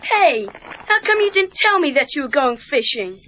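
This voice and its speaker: A woman's voice: sits high, big pitch swings, moderate pace, bright, forceful tone, heavily acted. A woman playing an eager, impatient teenage boy pupil in a children's audio drama, speaking informally and cheekily. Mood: indignant. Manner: informal, protesting, exclamatory. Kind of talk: children's read-along audio drama acting. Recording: dull lo-fi cassette tape.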